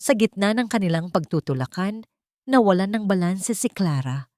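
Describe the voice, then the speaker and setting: A woman with a Filipino accent narrating a scripted story in a measured, formal and dramatic way. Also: medium pitch, lively intonation, darkish tone, no echo, clean studio sound.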